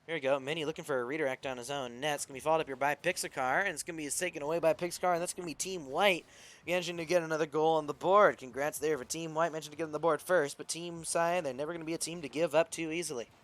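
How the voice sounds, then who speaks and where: A young man casting an esports match and chatting with his stream chat, his voice a bit raspy from losing it. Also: a little high, fairly even intonation, racing, a bit bright. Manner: rambling, chatty, talks to chat. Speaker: esports caster and stream host. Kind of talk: casual play-by-play commentary with chat. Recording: music playing behind. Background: stream music.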